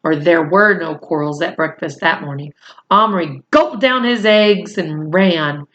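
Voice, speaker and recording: A woman reading a children's novel aloud in a deliberate, measured storytelling manner. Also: fairly low pitch, fairly bright tone, lo-fi sound. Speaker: storybook reader.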